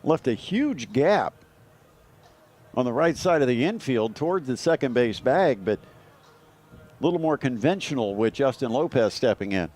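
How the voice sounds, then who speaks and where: A man's voice: medium pitch, expressive, normal speed, darkish tone. A man with an American accent giving live baseball commentary and breaking down the plays.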